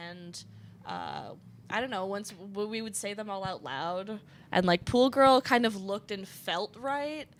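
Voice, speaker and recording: A young woman from Los Angeles, a bassist, talking with hesitant pauses and laughter. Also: a little hiss or hum. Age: young adult. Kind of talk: casual chat.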